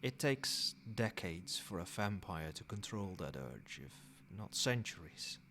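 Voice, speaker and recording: A man playing a possessive, controlling old vampire in a soft-spoken, measured ASMR roleplay. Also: sits low, very animated, a little hiss or hum, full character voice. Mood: grave, explaining. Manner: measured, formal, commanding.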